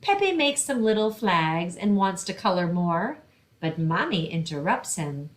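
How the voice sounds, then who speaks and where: Lower-pitched woman reading to children. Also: sing-song, a little slow. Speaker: librarian hosting a children's read-aloud. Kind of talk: picture-book read-aloud and simple explaining for children.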